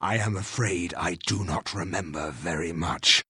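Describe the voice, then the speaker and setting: A grim resurrected warrior, the proud hero of a fantasy game. His voice is lowish and forceful with a rough husk, and his delivery is formal, curt and sardonic. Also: a little slow, dry recording, clean studio sound, heavily acted.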